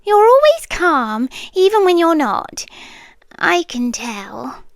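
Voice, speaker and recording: Casting: actress to play young British yandere stalker for ASMR roleplay; high-pitched, slow, soft, hesitant, sweetly obsessive. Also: female voice, very animated, no room sound, studio quality, full character voice. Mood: hushed, eerie fascination. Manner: soft, breathy, hesitant, drifting into whispers. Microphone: close microphone, dry.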